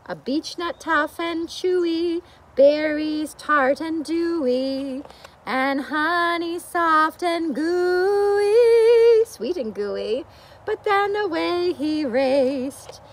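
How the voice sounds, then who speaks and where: A woman's voice: very high voice, very slow. A middle-aged woman teaching young children with simple talk and singsong storytelling, recorded outdoors. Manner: asks the young viewers frequent simple questions. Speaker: children's teacher reading and singing a picture book. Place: outdoors in a leafy garden. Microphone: camera or phone a short distance away.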